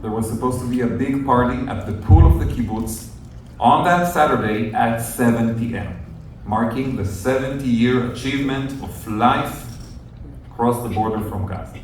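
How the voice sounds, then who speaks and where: A young adult man, a journalist telling a group his story in an earnest, measured, explaining way. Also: medium pitch. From northern Israel. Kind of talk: storytelling talk to visitors. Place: outdoors at a kibbutz near the Gaza border. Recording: very echoey, distant outdoor recording with faint noise.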